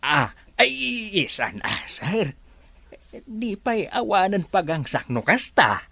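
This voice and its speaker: A man's voice: sits high, sing-song, slow pace, creaky, pushed and bright, no room sound, exaggerated voice. An actor playing a timid male employee who hesitates and stammers before his boss. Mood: nervous. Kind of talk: radio drama acting.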